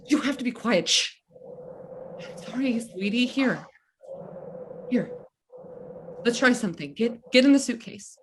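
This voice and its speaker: A woman's voice: fairly high pitch, expressive, a little slow, pauses often, slight background noise. A woman playing a nervous but resolute wartime mother in a stage play reading. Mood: urgent, soothing.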